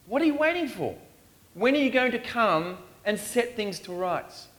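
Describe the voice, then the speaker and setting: A man's voice: high-pitched, very animated, moderate pace, a bit bright. A man preaching a sermon in a church in Australia, earnest and pleading as he poses questions.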